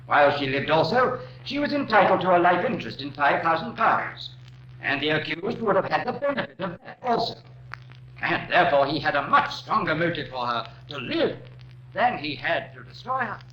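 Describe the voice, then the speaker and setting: Impassioned high-pitched Victorian barrister. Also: male voice, very animated, moderate pace. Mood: earnest, emphatic. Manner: formal, rhetorical, emphatic. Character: impassioned Victorian defense barrister addressing a jury. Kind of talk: 1950s radio crime drama courtroom oratory. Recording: dull, noisy old radio recording, roomy.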